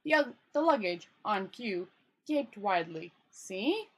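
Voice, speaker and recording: A woman's voice: medium pitch, expressive, unhurried, slightly rough. A woman storyteller reading a book aloud informally, stumbling over words and correcting herself, with casual commentary. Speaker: storyteller, channel host.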